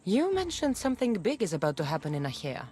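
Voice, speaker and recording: Bold, wry mercenary woman, lively intonation. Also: on the low side, quick pace. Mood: businesslike. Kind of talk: video game cutscene acting.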